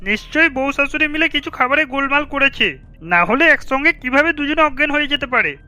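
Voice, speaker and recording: A scheming village trader from a cartoon, with a very bright, piercing edge and a high pitch. He is sly and nosy, full of jealous insinuation. Faint music plays underneath. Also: expressive, fairly quick, dry recording, full character voice. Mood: accusing. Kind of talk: cartoon character acting.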